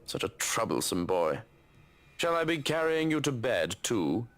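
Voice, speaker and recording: A man voicing a stern, protective butler in a game story, speaking formally and with measured firmness. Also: medium pitch, sing-song, fairly bright tone. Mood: dry, scolding. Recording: music under the voice.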